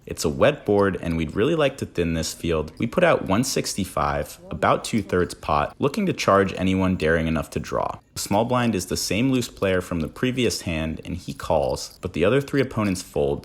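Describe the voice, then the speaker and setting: A man's voice: sits low, breathy edge. A man narrating a poker vlog in a scripted voice-over, with wry, self-deprecating delivery. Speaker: poker vlogger narrating hands.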